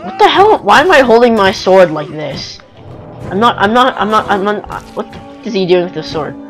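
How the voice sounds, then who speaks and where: A young man chatting casually over a video game with a friend, excitable, sarcastic and sweary. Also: high-pitched, moderate pace, rough recording. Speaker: gamer commentating over gameplay. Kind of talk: casual gameplay chat with a friend. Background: game music and sounds.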